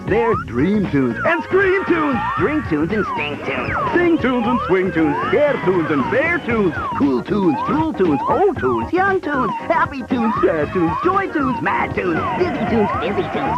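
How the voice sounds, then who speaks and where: A man voicing a zany, playful announcer in a rhyming cartoon TV promo, in a muffled recording under loud music. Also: very high-pitched, exaggerated voice.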